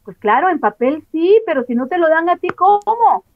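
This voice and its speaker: A woman's voice: very high voice, big pitch swings, moderate pace, dry recording. A woman giving frank, emphatic testimony as an interview guest over a video call. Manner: frank, emphatic, laughs off sensitive points. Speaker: guest, former member. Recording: dull, dry call audio.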